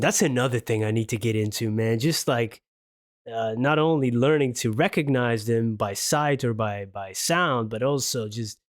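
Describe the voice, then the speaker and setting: A man's voice: mid-range voice, dry recording, clean studio sound. A man whose first language is Dutch, hosting a reaction video in casual, chatty English, thinking aloud and laughing often.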